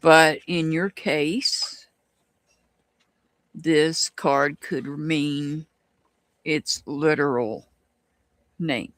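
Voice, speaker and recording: Slow, musing reader with a Southern US accent. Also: medium pitch, fairly bright tone, dry recording. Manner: slow, musing, restarts often. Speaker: tarot reader. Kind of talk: live-stream tarot reading, answering chat.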